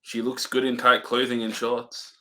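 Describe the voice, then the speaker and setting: A man's voice: medium pitch, completely flat delivery, moderate pace, pushed and bright, rough recording. A man co-hosting a podcast, chatting in a laid-back way and chuckling often. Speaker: podcast co-host. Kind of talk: casual chat.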